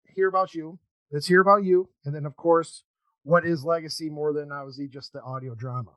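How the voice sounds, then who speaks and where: A man with a fairly high-pitched voice hosts a casual interview show. His delivery is halting and loosely worded. The sound is very dry, with no room echo. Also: steady tone, fairly bright tone. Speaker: podcast host.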